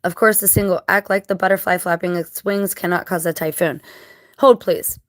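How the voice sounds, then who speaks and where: A woman speaks in a fairly low, scratchy voice, a little dry-mouthed after crying. She talks casually and earnestly to her viewers, halting as she thinks things through. The sound is close and dry, with little room around it. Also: steady tone, dry recording. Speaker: vlogger.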